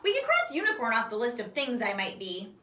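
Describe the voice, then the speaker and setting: A woman's voice: a little high, huge pitch swings, brisk, sharp tone, full character voice. A woman voicing a small, proud, childlike male creature in a children's story, exclaiming and self-important. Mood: indignant. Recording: echoey room, distant microphone.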